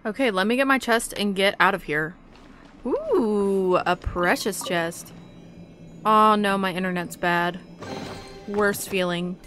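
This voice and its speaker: A woman's voice: mid-range voice, slow, fairly bright tone, faint music behind. A woman hosting a gameplay video with casual, chatty commentary. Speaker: gamer, video host.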